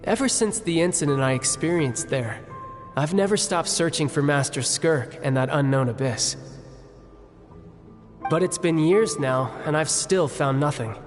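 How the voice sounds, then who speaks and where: Earnest young swordsman in a game, actor doing him, reverb-heavy, soft music behind. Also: on the high side, measured pace, natural voice. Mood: wistful. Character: restless young male fighter, former student. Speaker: game character.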